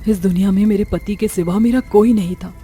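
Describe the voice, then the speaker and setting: A woman's voice: mid-range voice, fairly even intonation, fairly quick, warm tone, soft background music, close to an everyday voice. A woman voicing a vengeful, sorrowful witch ghost in a horror story, with a dramatic, threatening delivery. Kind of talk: Hindi horror story voice acting.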